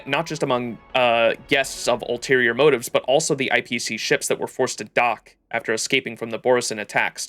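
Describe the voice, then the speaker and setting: A man's voice: mid-range voice, fairly bright tone. A man livestreaming a video game, reading its story text aloud and reacting to it.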